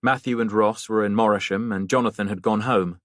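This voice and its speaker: Guy reading a detective audiobook, lower voice, dry studio sound. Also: steady tone, fairly quick, fairly bright tone, no echo. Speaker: audiobook narrator. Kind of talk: scripted narration of a mystery novel.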